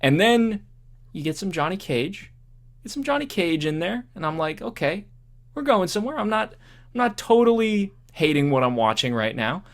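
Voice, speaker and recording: A man's voice: sits high, big pitch swings, normal speed. A man co-hosting a podcast, chatting casually about films in a rambling, conversational way. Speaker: podcast co-host. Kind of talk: casual film review chat.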